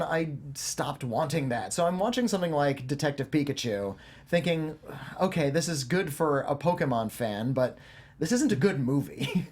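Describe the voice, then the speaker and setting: Husky-voiced man of forty discussing films. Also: fairly high pitch. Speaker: host and film critic. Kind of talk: opinionated panel discussion.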